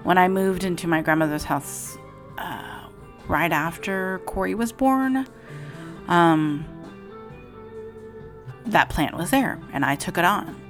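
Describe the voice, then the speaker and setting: A woman in her forties talks at home in a low, raspy voice, meandering through her thoughts with plenty of ums and restarts. Faint music plays softly from another room behind her. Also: takes it slowly, long pauses. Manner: rambling, meandering with many fillers. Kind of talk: casual personal vlog monologue.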